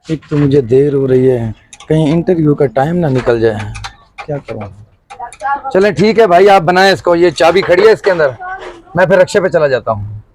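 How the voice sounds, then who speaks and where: A man's voice: fairly high pitch, lively intonation, normal speed, darkish tone, a little hiss or hum, close to an everyday voice. A man playing a polite, anxious job seeker with a broken motorbike in an acted moral-story skit. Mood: worried.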